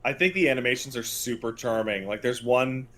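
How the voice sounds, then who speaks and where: Man, podcast co-host, mid-pitched voice, casual gaming chat, blunt, profane, emphatic. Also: a little fast, a bit bright. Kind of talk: casual podcast chat about video games.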